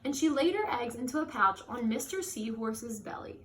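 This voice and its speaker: A woman reading a children's story aloud with expressive, drawn-out emphasis and short asides. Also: on the high side. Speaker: read-aloud host for children. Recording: echoey room with faint noise.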